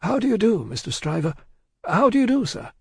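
Casting: discreet anxious old man of business done by a male narrator, careful and polite, husky, dry recording. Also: fairly high pitch, expressive, a little mellow, dry recording, pitched above the performer's own voice, heavily acted. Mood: courteous. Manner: hesitant, courteous, measured, fussy. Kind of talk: acted audiobook dialogue from a classic novel.

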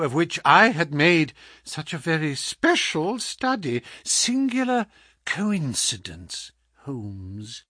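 A man's voice: high voice, fairly bright tone, dry recording, clean studio sound, pitched above the performer's own voice, full character voice. A man voicing a gloating, sneering villain in an audiobook story, with an insistent, mocking manner. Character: gloating, sneering villain visiting a sick enemy. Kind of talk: audiobook character acting.

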